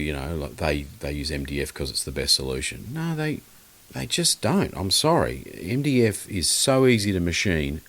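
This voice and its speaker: A man with a very low, deep voice chatting as an interview guest. He speaks bluntly and with strong opinions, wandering through his explanations with a dry, joking edge. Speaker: guest loudspeaker designer and maker. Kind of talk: casual podcast interview.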